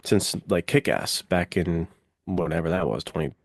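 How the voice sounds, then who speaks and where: Guy w really low voice hosting a podcast, dry close sound, casual excited movie talk. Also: normal speed, creaky, dry recording. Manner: informal, peppered with "like" and "dude". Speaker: podcast host. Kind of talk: casual enthusiastic film chat.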